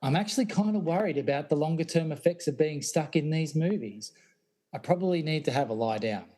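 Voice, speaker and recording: Man as a higher-voiced laid-back friend. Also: lively intonation, fairly quick, dark tone. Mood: mildly worried. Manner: casual, understated. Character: laid-back, mildly worried husband friend. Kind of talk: amateur radio-play acting.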